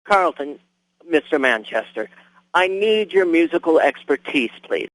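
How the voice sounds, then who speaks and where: A man's voice: fairly high pitch, expressive, measured pace, a bit bright, no room sound, low-quality recording. An actor playing an earnest man who calls a radio station with a formal, imploring request.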